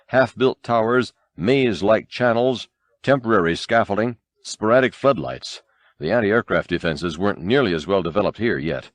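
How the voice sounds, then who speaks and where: Low-voiced man narrating an audiobook. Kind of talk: dramatic scripted storytelling narration.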